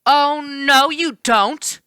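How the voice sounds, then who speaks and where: A woman's voice: high-pitched, drawn-out words, sharp tone, dry recording, studio quality, pitched above the performer's own voice, full character voice. A woman voicing a feisty, indignant young female river nymph in a storybook, sassy and emphatic.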